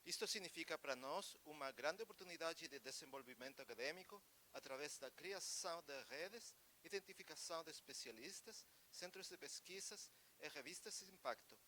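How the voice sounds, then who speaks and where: A man's voice: on the high side, sharp tone, slight background noise, low-quality recording. Formal man careful Portuguese. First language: Spanish. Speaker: academic speaker. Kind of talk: formal conference remarks in Portuguese.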